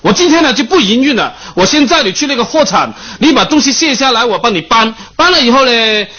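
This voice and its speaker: An actor playing a big-hearted, insistent taxi driver with an effusive, hurried manner in a radio comedy. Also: male voice, very high-pitched, big pitch swings, sharp tone, slightly rough, heavily acted. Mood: eager, earnest. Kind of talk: improvised radio comedy drama. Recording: dull lo-fi radio broadcast.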